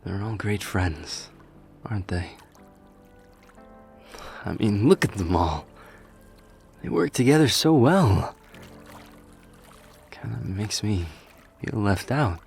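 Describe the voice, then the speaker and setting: A man's voice: fairly low pitch, animated, slow, vocal fry, long pauses, darkish tone, soft background music, heavily acted. A man playing a courteous, reflective warrior king in an ASMR audio roleplay, speaking formally and politely with some hesitation. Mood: pensive, uneasy.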